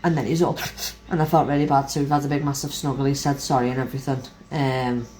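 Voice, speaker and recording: A young woman and mother, casually rambling through her day to camera, frank and lively. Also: very deep voice, fast talker, slight background noise. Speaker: vlogger, mother. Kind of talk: casual chatty recap to camera. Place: home. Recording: echoey room.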